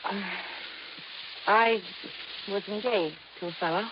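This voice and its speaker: An actress playing a young, anxious yet coquettish niece of a sea captain in an old-time radio drama, with an earnest, confiding delivery. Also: female voice, medium pitch, takes it slowly, natural voice. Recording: muffled, hissy old radio recording.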